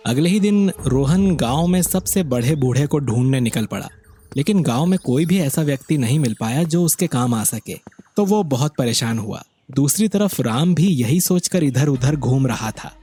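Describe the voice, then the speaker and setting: A husky-voiced man reading scripted narration for a moral story. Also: medium pitch, lively intonation, moderate pace, dark tone.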